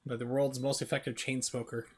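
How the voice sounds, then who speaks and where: A man's voice: mid-range voice, level tone, fairly quick. A man giving casual, chatty, amused commentary while livestreaming a video game. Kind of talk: casual gaming stream commentary.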